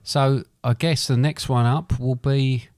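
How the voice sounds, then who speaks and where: A man's voice: medium pitch, steady tone, measured pace, darkish tone, no echo, studio quality. A middle-aged man, co-hosting a podcast in casual chat. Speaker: podcast co-host.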